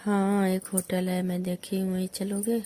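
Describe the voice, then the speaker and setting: A woman's voice: mid-range voice, monotone, moderate pace, mellow. A woman with an eastern Uttar Pradesh accent in a casual phone chat, answering in an offhand, teasing way.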